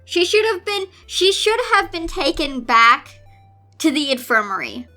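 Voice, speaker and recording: A woman's voice: sits high, big pitch swings, unhurried, pushed and bright, soft background music. A woman reading fan fiction aloud in a theatrical way, breaking off into incredulous, sarcastic commentary. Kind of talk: dramatic read-aloud with exasperated commentary.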